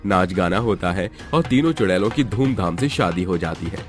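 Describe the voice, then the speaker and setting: A man's voice: sits low, animated, moderate pace. A man reading a scripted story as its narrator. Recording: music behind the voice. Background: background music.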